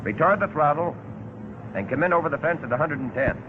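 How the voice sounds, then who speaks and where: An American man narrating a 1940s instructional film in a measured, precise, instructive way, as a flight instructor would. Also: on the high side, expressive, noisy background. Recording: tinny narrow-band 1940s film soundtrack.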